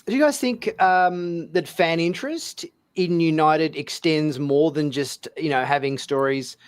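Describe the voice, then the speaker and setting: A man's voice: fairly high pitch, measured pace, clear, resonant tone. A man hosting a casual panel discussion, speaking hesitantly and often repeating words and phrases as he goes. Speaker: host of a fan talk show.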